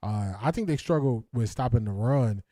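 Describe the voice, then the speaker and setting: Mellow-voiced sports talk host. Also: male voice, mid-range voice, animated, normal speed, no echo, clean studio sound. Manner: hesitant, many restarts and fillers, laughs. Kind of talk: casual live-stream chat reading comments.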